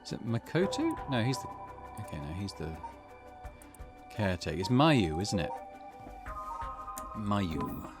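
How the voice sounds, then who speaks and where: A man hosts a gaming let's-play, thinking out loud as he goes. His pitch moves around a great deal, from low murmurs to lifted lines. Game music plays clearly behind him. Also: sing-song, measured pace, pauses often. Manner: musing aloud to himself about his character voices. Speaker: video game streamer, let's-play host. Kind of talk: let's-play commentary, reading game text aloud.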